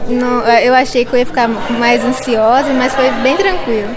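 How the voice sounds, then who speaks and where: A teenage girl answering interview questions in a relaxed, chatty way. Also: a little high, normal speed, loud music behind the voice. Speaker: student interviewee.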